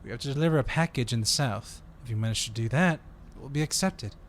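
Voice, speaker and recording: A man's voice: mid-range voice, fast, a little hiss or hum, lightly acted. A man playing an eager, friendly undercover agent in an audio roleplay, talking in a polite, chatty way. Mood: earnest, polite.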